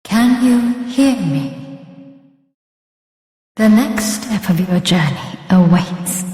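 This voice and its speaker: A woman's voice: medium pitch, a little mellow. A woman voicing a serene, otherworldly guiding spirit in a fantasy video game, solemn and formal, in long reverb. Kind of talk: video game character acting. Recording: long reverb, faint music behind.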